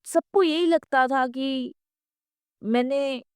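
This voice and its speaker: A woman's voice: high-pitched, slow pace, a little mellow, no room sound, studio quality. A woman reading scripted devotional narration in a reverent, earnest manner, often repeating phrases for emphasis.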